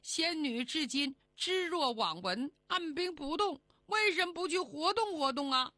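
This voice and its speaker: A woman's voice: high-pitched, expressive, a little slow, bright, forceful tone, no room sound, studio quality, pitched above the performer's own voice, exaggerated voice. A woman voicing an eager little pet rabbit in a folk tale, pleading and wheedling. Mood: nagging, pleading.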